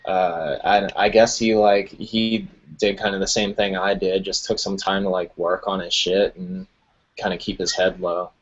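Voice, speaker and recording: Young man in his twenties, low-ish voice, laid-back and cussing, muffled lo-fi stream audio. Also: level tone, normal speed. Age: 20s. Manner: laid-back, profane, laughs often. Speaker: music producer guest. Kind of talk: casual podcast chat.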